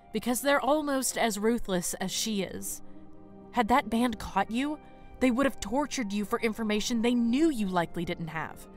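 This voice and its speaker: A woman's voice: mid-range voice, lightly acted. A woman playing a tough but motherly female dragon who leads outlaws, firm and resolute, in an audio drama. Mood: grim.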